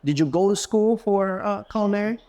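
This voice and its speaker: A male podcast host with a voice pitched a little high, asking meandering questions in a relaxed, friendly way. He keeps the conversation going with a stream of encouraging yeahs and okays. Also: fairly quick, dull audio. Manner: encouraging, frequent affirmations, loose rambling questions. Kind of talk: casual interview questions.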